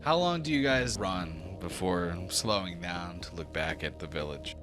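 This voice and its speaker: A man's voice: deep voice, unhurried, over music. A man narrating a tabletop fantasy game as game master, in a casual manner. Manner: casual, swearing.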